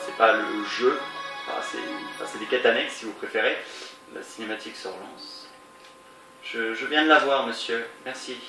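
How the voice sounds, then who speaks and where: A man with a stuffy nose from a cold, giving rambling, hesitant commentary on a game he is playing, with many fillers and restarts. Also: mid-range voice. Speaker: let's play gamer. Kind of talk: casual gameplay commentary. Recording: roomy, distant mic, game music behind. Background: game music.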